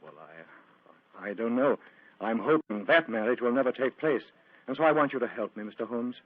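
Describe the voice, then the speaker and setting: An actor playing an earnest, agitated reverend in a vintage radio drama, speaking solemnly and hesitantly. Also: male voice, medium pitch, expressive, normal speed, no echo, lightly acted. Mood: evasive. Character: earnest, troubled clergyman, fervent and anxious. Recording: tinny, narrow-band old radio recording.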